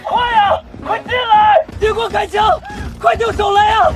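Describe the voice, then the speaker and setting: A man playing a panicking, desperate survivor in a motion-comic drama, shouting frantically. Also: very high-pitched, flat delivery, moderate pace, some chatter behind. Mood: panicked, frantic. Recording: loud music behind the voice.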